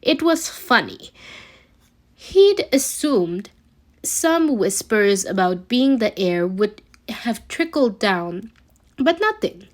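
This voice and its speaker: A woman reading a scripted story aloud as an amateur narrator, expressive but a little halting, with occasional stumbles. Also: medium pitch. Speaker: amateur fan fiction reader.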